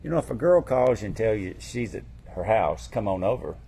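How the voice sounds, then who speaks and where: Low-voiced Southern man, folksy. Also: expressive, a little fast, gravelly, mellow, breathy edge. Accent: Southern US, Tennessee. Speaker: co-host of an outdoors talk show. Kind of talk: casual hunting chat.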